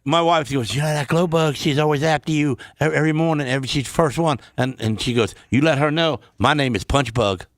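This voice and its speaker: A man's voice: fairly high pitch, fairly quick, hardly any pauses, no echo. Folksy male radio sidekick, dry sound. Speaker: show sidekick. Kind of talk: casual radio banter. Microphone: close studio microphone.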